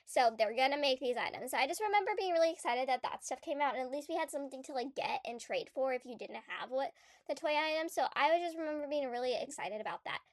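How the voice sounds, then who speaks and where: A woman's voice: high voice, animated, fast talker, dry recording, studio quality. Stuffed-up young woman chatting. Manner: chatty and rambling, many fillers and restarts. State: congested from a cold. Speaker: gaming YouTuber. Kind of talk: casual vlog commentary.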